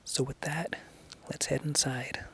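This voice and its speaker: A man hosting a listening show, whispering a scripted introduction in an unvoiced, hushed voice. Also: mid-range voice, steady tone, unhurried. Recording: faint background noise.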